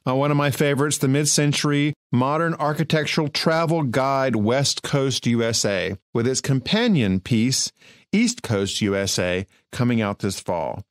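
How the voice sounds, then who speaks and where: A man's voice: mid-range voice, lively intonation, moderate pace, dry recording, studio quality. A man hosting an architecture radio show, chatty and enthusiastic as he asks questions. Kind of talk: casual interview.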